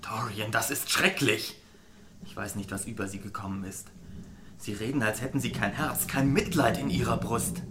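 An actor playing an anguished, devoted painter in a German radio drama, earnest and full of reproach. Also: male voice, on the low side, steady tone, normal speed, bright, forceful tone, soft background music. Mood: sorrowful. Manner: earnest, emotional, pained.

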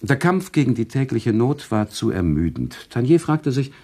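Thoughtful male storyteller, radio play narration. Dark husky voice, lively intonation, deliberate, sympathetic. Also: mid-range voice. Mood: narrating.

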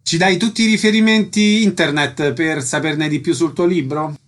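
A man's voice: fairly high pitch, normal speed, fairly bright tone. A man working as a radio interviewer, presenting and putting short, prepared questions.

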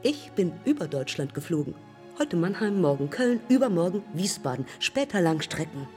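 A self-possessed young woman pilot in a radio drama. Her voice is slightly low and terse, breaking memories into short, independent-minded fragments. It rings with long reverb, with faint music behind. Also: big pitch swings, normal speed, mellow. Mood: proud. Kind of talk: German public radio drama, lyrical dialogue.

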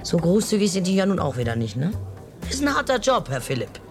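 A brash streetwise woman with a lively, swooping voice, full of laughter and mocking retorts. She trades quick, cheeky answers with a detective in a radio play. Music sits faintly beneath her. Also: on the low side. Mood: sassy. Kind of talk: radio drama acting.

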